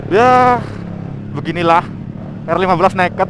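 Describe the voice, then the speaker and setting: A man chatting casually and excitably in slangy style as he vlogs while riding outdoors. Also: sits high, a little slow, noisy background. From Indonesia. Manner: excitable, exclamatory, interjection-filled. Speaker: motovlogger. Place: outdoors, riding a motorbike on a forest mountain road.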